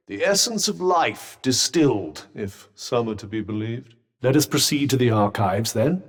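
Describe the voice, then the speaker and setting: A man's voice: mid-range voice, slightly rough, long reverb tail. A British man voicing a witty, haughty magical talking vambrace in a video game, with pompous, droll and sardonic delivery. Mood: measured, wry. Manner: pompous, droll, erudite wording. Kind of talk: video game character dialogue.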